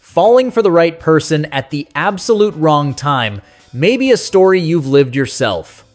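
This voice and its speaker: A man's voice: a little high, big pitch swings, unhurried. A man narrating a scripted nostalgic music countdown as host, speaking to the listener in a conversational, sentimental way.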